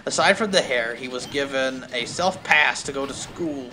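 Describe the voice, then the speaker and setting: A man's voice: medium pitch, moderate pace, sharp tone, low-quality recording. A man reading fan fiction aloud on a stream with wry, casual asides, often interrupting himself, some noise in the background. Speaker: streamer, host.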